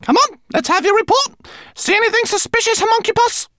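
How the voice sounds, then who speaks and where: A man reading aloud, voicing a bossy, practical female rat who flies a little plane, in a high, squeaky put-on voice. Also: sharp tone, dry recording, clean studio sound. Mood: brisk. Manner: brisk, quick, commanding. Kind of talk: audiobook character voice.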